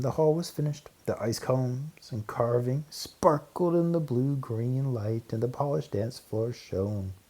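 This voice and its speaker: A man narrates a children's story with a dark, mellow tone. His voice has a husky grain and sits at a middling pitch, with the expressive delivery of a read-aloud. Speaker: storybook reader.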